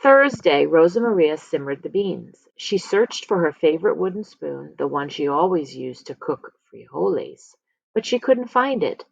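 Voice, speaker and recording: A woman reading a children's picture book aloud as a storyteller, expressively, with dramatic pauses and emphasis. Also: deep voice, lively intonation, gravelly, husky, dry recording. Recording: slightly dull, lo-fi close recording.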